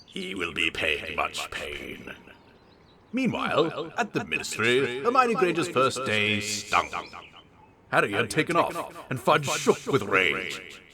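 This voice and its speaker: Theatrical man reading aloud, wildly swinging pitch. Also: wildly swooping pitch, takes it slowly, a crowd in the background. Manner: theatrical, mock-serious delivery with big swings of emphasis. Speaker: host reading a humorous story aloud. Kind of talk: dramatic comic reading of absurd fiction.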